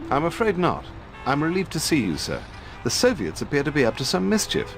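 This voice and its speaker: An actor playing a dapper, jittery British secret service gentleman in a video game, with a plummy upper-class English accent and fussy politeness. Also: fairly high pitch, huge pitch swings, fairly quick, music in the background. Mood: nervous. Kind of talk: video game cutscene acting.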